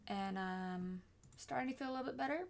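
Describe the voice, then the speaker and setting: A woman streamer with a hoarse, scratchy voice, chatting casually and rambling with lots of fillers. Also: medium pitch, fairly quick. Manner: rambling, filler-heavy, mutters to herself.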